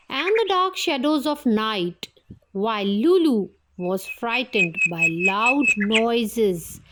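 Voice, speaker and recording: A woman storyteller narrating a scripted children's fairytale in a deliberate way, broken into short phrases. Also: fairly high pitch, noisy background.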